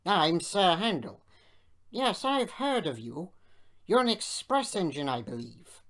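A haughty male steam engine from a children's storybook, voiced by a woman. He grumbles and complains with snobbish self-importance, his pitch swinging widely as he sulks. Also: very animated, a little slow.

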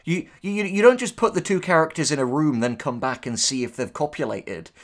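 A man's voice: on the high side, expressive, brisk. A man co-hosting a casual reaction show, reading posts aloud and commenting with sarcasm and swearing. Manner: sarcastic, profane. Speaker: co-host, audio drama writer.

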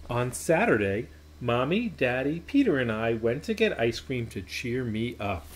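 Male picture-book reader, expressive and emphatic, medium pitch with very lively intonation. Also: measured pace, slight background noise. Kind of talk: children's storybook read-aloud. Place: small indoor playroom.

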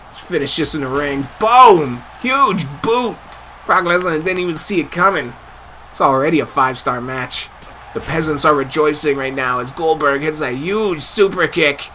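A young man giving loud, excitable live commentary over a wrestling video game, his voice getting hoarse. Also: on the high side, normal speed, slight background noise. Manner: hyped, shouting play-by-play calls. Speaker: gameplay commentator.

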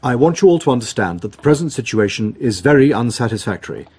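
Dry sober money adviser in a radio play, formal, measured, slightly husky. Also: male voice, medium pitch, animated, a little mellow. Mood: dry, businesslike. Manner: measured, formal, matter-of-fact. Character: Gerald, sober, dry, cautious family business adviser. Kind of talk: radio drama acting.

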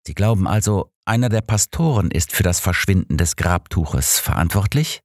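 A man's voice: low-pitched, animated, normal speed, no echo, voice pushed up. Guy reading as a curious doctor sidekick in an audiobook, polite, asking things, dry studio sound. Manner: polite, questioning.

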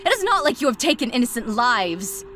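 A woman voicing a guarded motherly goat monster with formal, measured speech in an amateur comic dub. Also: on the high side, big pitch swings, sharp tone, a little hiss or hum. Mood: stiff, guarded. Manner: formal, measured, avoids contractions.

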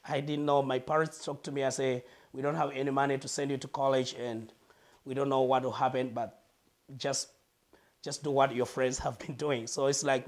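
A Malawian man, a guest on a lapel mic in a living room, earnestly telling his life story and pausing to search for words. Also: medium pitch.

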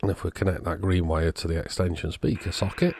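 A man's voice: sits low, level tone, fast, darkish tone, a little hiss or hum. A man giving an informal, matter-of-fact narration of a hands-on repair, thinking aloud as he works. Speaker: electronics repair YouTuber.

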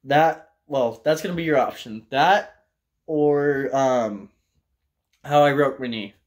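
A young American man tells a personal story casually to camera, in a loose, halting way with plenty of swearing. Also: medium pitch. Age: young adult. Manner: loose, profane, halting delivery. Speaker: vlogger telling a personal story. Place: small indoor room with bare wall.

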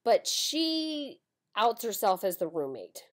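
A woman's voice: mid-range voice, big pitch swings, takes it slowly. An American woman in her twenties telling a personal story to camera at home, dramatic and emphatic. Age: 20s. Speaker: storytime vlogger. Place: small room at home.

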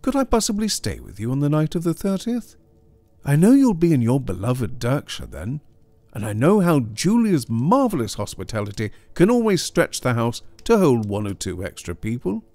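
A man's voice: a little high, wildly swooping pitch, moderate pace, darkish tone, dry recording, pitched above the performer's own voice. A man reading aloud a letter in the voice of a charming, reckless adventurer who asks a favour. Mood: ingratiating. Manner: breezy, ingratiating.

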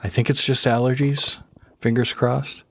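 A man hosting an art channel, narrating his drawing in a casual voice-over. He sounds under the weather and worn out, with a raspy voice and a rambling, soft-spoken manner. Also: mid-range voice, level tone, normal speed, no echo. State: under the weather, low energy.

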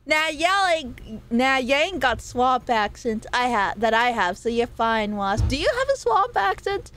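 A woman's voice: a little high, sing-song, measured pace, fairly bright tone. A young woman from California, a streamer chatting casually with her viewers. She has a slightly nasal voice, swears freely and teases her chat. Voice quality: self-described nasal. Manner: playful, swears, talks to chat.

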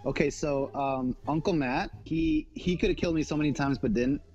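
A man's voice: a little high, normal speed, dull audio. A man chatting casually during a game, insistent and often repeating his phrases. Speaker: player. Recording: music behind voice chat. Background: game music.

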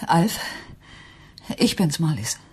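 An actress playing a distraught, quietly accusing wife in a German radio crime drama, halting and intense, often dropping toward a whisper. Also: female voice, low voice, very animated, a little hiss or hum, heavily acted. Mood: tense, subdued.

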